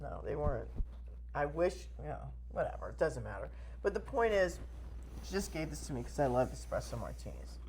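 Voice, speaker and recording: A woman's voice: sits low, very animated, brisk, a little mellow, slight background noise, poor audio. A woman co-hosting a podcast in casual chat, blunt and emphatic, bantering and repeating herself. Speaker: podcast co-host.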